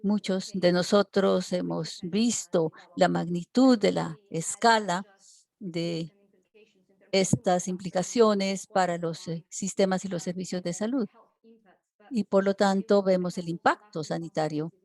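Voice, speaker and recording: A woman working as a simultaneous interpreter for an online seminar. She keeps a steady delivery, broken by frequent hesitation fillers. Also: fairly low pitch, a little slow, vocal fry. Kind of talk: live interpretation for a webinar.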